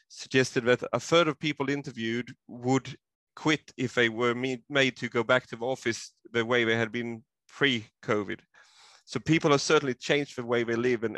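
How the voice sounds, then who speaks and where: A man's voice: mid-range voice, fairly bright tone, no room sound. A middle-aged man from Sweden, a law professor explaining things carefully as a guest in an interview over a video call from his home office. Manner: measured, explanatory, occasional restarts.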